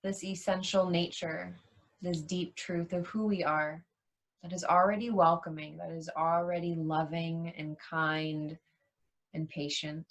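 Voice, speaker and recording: A woman leading a guided yoga nidra meditation as a teacher, soothing and unhurried, leaving long pauses between short phrases. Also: fairly low pitch, little pitch movement.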